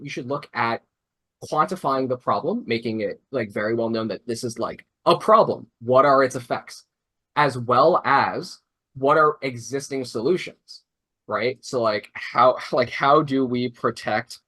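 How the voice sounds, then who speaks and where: A man's voice: medium pitch, pushed and bright. A young man mentoring robotics teams, explaining casually on a video call, rambling with many fillers and restarts. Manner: rambling, full of "like" and "uh", self-correcting.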